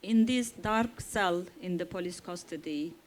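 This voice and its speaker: A woman from Turkey, a native Kurdish speaker, talking slowly and deliberately on a festival panel. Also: fairly low pitch. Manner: slow, deliberate speech with frequent pauses. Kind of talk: panel talk.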